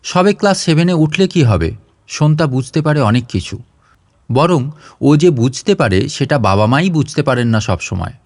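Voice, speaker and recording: A man's voice: medium pitch, sing-song, moderate pace, darkish tone, no room sound, studio quality. A man reading a scripted short story as an audio narrator.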